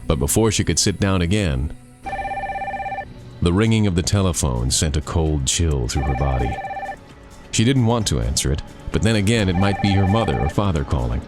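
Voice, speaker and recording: A man narrating a scripted scary campfire story, dramatic, with deliberate pauses. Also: low voice, expressive, over loud music. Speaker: author and narrator.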